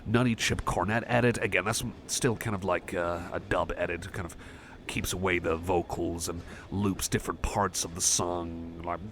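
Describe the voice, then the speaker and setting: A man playing a shy, friendly cyborg music fan, chatting softly and hesitantly in a casual ASMR roleplay. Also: low voice, fairly even intonation, normal speed, slight background noise. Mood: bashful, rambling. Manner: hesitant, rambling, self-effacing.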